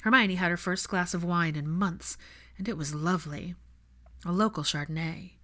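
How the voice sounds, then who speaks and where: A woman's voice: fairly low pitch, normal speed, bright, forceful tone. A woman reading scripted fiction aloud as an audiobook narrator.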